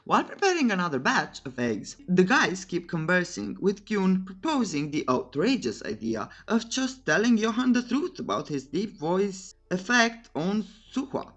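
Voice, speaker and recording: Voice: medium pitch, sing-song, moderate pace, few pauses, fairly bright tone. A narrator reading a scripted comic recap in a playful, teasing, theatrical way, with humorous asides.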